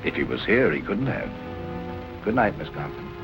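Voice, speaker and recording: A man playing a coolly polite, probing police captain in 1940s crime film style, questioning in measured tones. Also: sits low, big pitch swings, over music. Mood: businesslike, probing. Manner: measured, courteous, persistent questioning. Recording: noisy old 1940s film soundtrack.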